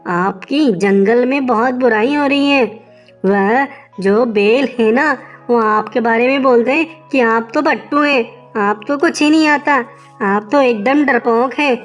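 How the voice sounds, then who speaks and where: A woman voicing a sly, scheming little female wolf who flatters and wheedles in a children's animal story. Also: fairly high pitch, unhurried, faint music behind, lightly acted. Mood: wheedling, goading.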